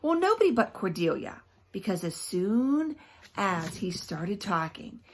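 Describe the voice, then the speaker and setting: A woman teacher reads a children's book aloud expressively, pausing often for emphasis and to put questions to young listeners. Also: medium pitch, big pitch swings, husky.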